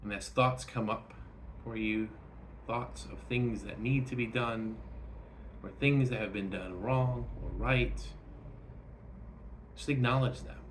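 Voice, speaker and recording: A man leading a guided meditation, speaking gently and instructively in short phrases with frequent pauses. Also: medium pitch, steady tone, slight background noise.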